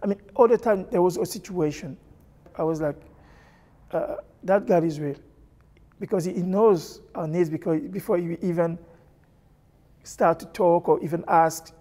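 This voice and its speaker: A middle-aged man from Congo, seated indoors with a lapel mic, giving an earnest personal testimony and often pausing and restarting. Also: high voice, dark tone. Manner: earnest, halting, with many fillers and restarts. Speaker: church member sharing testimony. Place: church hall with stage, indoors.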